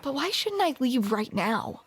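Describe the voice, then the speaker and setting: Slow, playful woman in audio roleplay. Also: high-pitched, big pitch swings, husky. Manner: playful, teasing banter. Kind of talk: scripted romantic audio roleplay.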